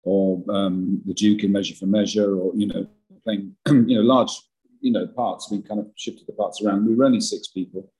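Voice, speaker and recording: A man's voice: fairly low pitch, steady tone, normal speed, warm tone, breathy edge, low-quality recording. An older man, an actor, talking in a podcast interview, rambling and self-deprecating with many restarts and a big voice. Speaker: actor guest.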